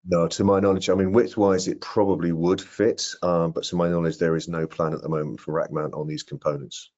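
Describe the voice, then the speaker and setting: Very deep-voiced man on a muffled call. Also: little pitch movement, brisk, a little mellow. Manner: hesitant, repeats words while explaining. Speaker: product presenter answering audience questions. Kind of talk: informal technical Q&A. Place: indoor room on a video call. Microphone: video call microphone. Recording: dull, band-limited video-call audio.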